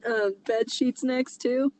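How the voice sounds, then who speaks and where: A woman with a notably high voice co-hosts a comedy podcast. She chats casually, with a teasing, giggly way of talking. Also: flat delivery, slow pace, darkish tone, a little hiss or hum, low-quality recording. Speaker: podcast co-host.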